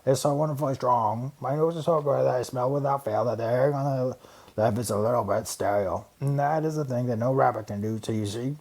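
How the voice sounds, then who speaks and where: A big, gruff storybook bear, acted out by a man reading aloud. He growls and brags with stubborn pride. Every challenge gets a snort or a sneer. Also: medium pitch, brisk, a little mellow, close to an everyday voice. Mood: boastful. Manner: bragging, snorting, grunting. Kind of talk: children's storybook character voice.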